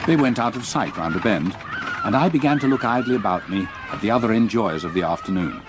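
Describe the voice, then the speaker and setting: Haunted elderly Englishman male actor, gothic radio drama. Measured, literary, burdened, deeper register, very expressive range. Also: heavy background noise, natural voice. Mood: wistful, content. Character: haunted, grief-stricken old man recalling his past.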